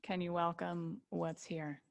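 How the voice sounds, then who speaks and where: Slow, low-voiced woman guiding meditation. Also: level tone, vocal fry. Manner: gentle invitations with long pauses. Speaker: yoga nidra teacher. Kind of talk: guided relaxation meditation.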